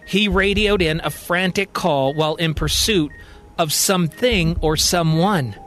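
Man voicing a grave, insistent American president, high-pitched. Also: a little slow, a bit bright, faint music behind. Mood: grave, explaining. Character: grave, insistent male President of the United States. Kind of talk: dramatic storytelling podcast.

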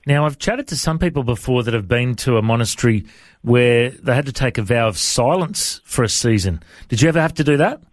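A man's voice: mid-range voice, lively intonation, a little fast, no echo. Friendly man hosting a radio interview. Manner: friendly, informal, with frequent fillers. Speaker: radio show host. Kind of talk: conversational interview.

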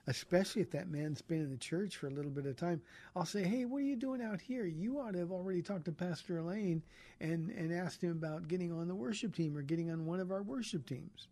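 A pastor hosting a call-in radio program, speaking earnestly and encouragingly to his callers. His voice sits fairly high for a man, with a mellow, relaxed tone. He often stumbles and restarts as he thinks aloud. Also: a little fast. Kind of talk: call-in radio Bible talk.

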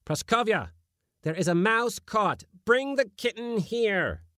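A bellowing, irascible old-school Latin master. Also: male voice, fairly high pitch, big pitch swings, slow, darkish tone, no echo, clean studio sound, pitched above the performer's own voice. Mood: commanding. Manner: blustering, bellowing, scolding. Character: irascible middle-aged male Latin schoolmaster.

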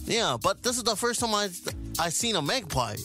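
Animated, bright-voiced New Zealand radio host, male. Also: high-pitched, normal speed. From South Auckland, New Zealand. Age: adult. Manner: animated, joking, slangy. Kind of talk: casual radio banter. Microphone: close studio radio mic. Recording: music bed behind the voice.

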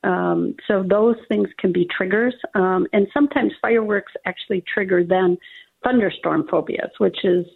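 Gravelly-voiced woman vet on a phone line. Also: mid-range voice, moderate pace. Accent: Minnesotan. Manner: practical, filler-heavy advice with many ums. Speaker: veterinarian guest. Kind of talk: radio call-in interview.

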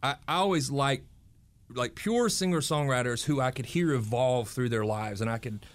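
A singer-songwriter in his forties talking casually and reflectively between songs, often pausing and restarting his sentences. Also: medium pitch. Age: 40s. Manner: reflective, halting, many fillers and restarts.

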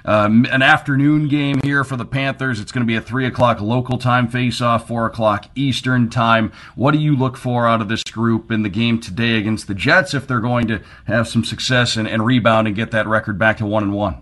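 A man's voice: medium pitch, fairly even intonation, normal speed, few pauses, a bit bright. Man hosting a sports radio interview. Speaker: radio sports show host.

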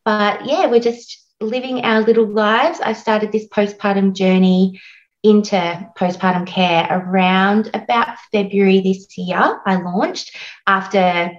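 A woman's voice: mid-range voice, fairly even intonation, measured pace, lo-fi sound. An Australian woman in her thirties, a guest on a podcast, telling her story in a chatty way with lots of "like" and "um". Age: 30s. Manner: warm, chatty, frequent "like" and "um". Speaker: guest, postpartum doula. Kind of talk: casual interview storytelling.

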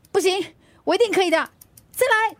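An actress playing a plucky, stubborn delivery rider and mother in a radio drama, expressive and colloquial with a Singaporean accent. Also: very high voice, normal speed, a little hiss or hum. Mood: determined. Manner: expressive, colloquial, self-urging. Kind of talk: Mandarin radio drama acting.

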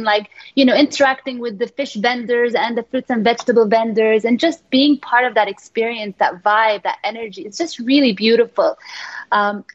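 A woman's voice: fairly high pitch, highs cut off. A woman born in England, a radio guest, chatting casually and telling stories with many fillers and restarts.

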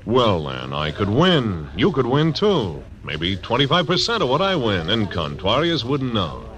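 An actor playing a tough, dry-spoken frontier marshal in a 1940s radio drama. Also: male voice, on the low side, huge pitch swings, unhurried, fairly bright tone, heavily acted. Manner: dry, clipped, understated. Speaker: narrator.

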